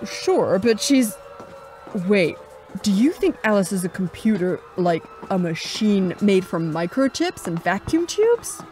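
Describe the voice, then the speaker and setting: A woman playing a brisk, sceptical, no-nonsense adventuress in a pulp sci-fi audio drama. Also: mid-range voice, sing-song, warm tone. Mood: exasperated. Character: brisk, sceptical adventuress Vale, telling her own space tale.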